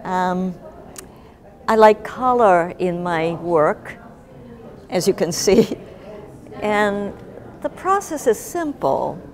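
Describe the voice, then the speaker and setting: An older woman giving casual interview answers in a reflective, gently humorous way. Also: fairly low pitch. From Japan. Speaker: guest, artist and writer.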